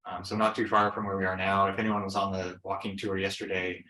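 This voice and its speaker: Guy giving a conference talk, low steady voice, bright tone, bit of room echo. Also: quick pace. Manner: relaxed, plain explanatory talk with fillers. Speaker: presenter, engineering consultant. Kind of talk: conference presentation.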